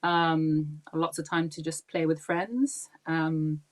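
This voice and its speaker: A woman's voice: sits low, steady tone, slow pace. A woman in her forties who grew up in Pakistan, chatting as a podcast guest, talking readily and laughing easily between thoughts. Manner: chatty and warm, laughing often, many ums. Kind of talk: casual interview chat.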